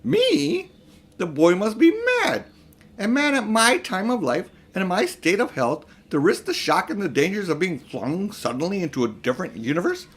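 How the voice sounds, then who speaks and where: Elderly storybook magician, male, acted by a man reader: vain, grandiose, self-important, very animated pitch. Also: moderate pace, husky, heavily acted. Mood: indignant. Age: older. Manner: grandiose, self-important, indignant when challenged. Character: pompous, vain, selfish elderly magician uncle. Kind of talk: storybook character reading.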